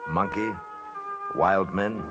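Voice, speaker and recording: A man playing a brisk expedition leader who recounts his mountain adventure in a 1950s radio drama. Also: deep voice, dull audio, over music. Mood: reflective, narrating. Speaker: actor and narrator in a radio play. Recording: old 1950s radio broadcast.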